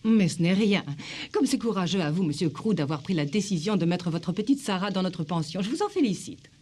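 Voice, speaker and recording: A woman voicing a genteel, self-important headmistress in an animated drama, formal and flattering in manner. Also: lowish voice, a little fast, a little hiss or hum, natural voice. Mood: gushing. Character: genteel, effusive, self-important headmistress of girls' boarding school. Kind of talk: French-dubbed animated drama acting.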